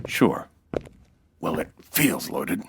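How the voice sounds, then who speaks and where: An actor playing a hard-boiled, cynical American nightclub owner in a dry, clipped detective radio drama style. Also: male voice, very deep voice, very animated, very slow, creaky, noisy background, full character voice. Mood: cool, insistent. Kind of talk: 1940s detective radio drama narration and dialogue.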